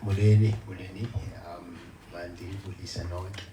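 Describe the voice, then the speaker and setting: A man introducing himself as a podcast guest, hesitant and soft-spoken with many fillers. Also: low-pitched, little pitch movement, moderate pace. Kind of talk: casual panel chat. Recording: distant mic, echoey, noisy.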